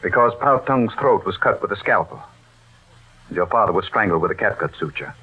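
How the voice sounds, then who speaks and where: A commanding, grim army major in a vintage radio play. His low, husky voice delivers clipped phrases at a slow pace, over a thin, tinny recording. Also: expressive. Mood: accusing. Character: stern, decisive army major investigating murders. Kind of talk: old radio drama acting. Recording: tinny, band-limited old radio recording.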